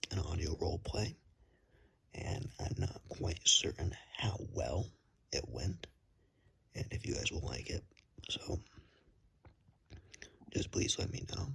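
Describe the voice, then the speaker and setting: A man's voice: deep voice, creaky, fairly bright tone. A man whispering casually to viewers as an ASMR creator, self-deprecating, recorded on a phone's built-in microphone. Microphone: phone, no external microphone.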